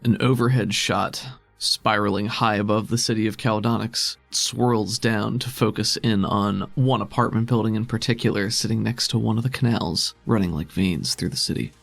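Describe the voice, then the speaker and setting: Creaky-voiced man running a tabletop game. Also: on the low side, fairly even intonation, moderate pace, a bit bright, no room sound, faint music behind. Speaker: game master, podcast host. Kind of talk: casual chat and descriptive game narration.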